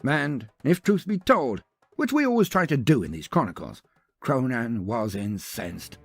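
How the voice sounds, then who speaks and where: A man reading scripted fantasy narration as a storyteller, in a pompous, wordy manner with dramatic pauses. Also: medium pitch, big pitch swings, slightly rough, faint music behind.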